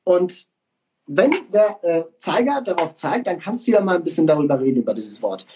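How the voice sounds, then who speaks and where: A host chatting in a relaxed, informal way and encouraging the guest to talk. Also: mid-range voice, brisk, slight background noise. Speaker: interview host. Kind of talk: casual interview chat.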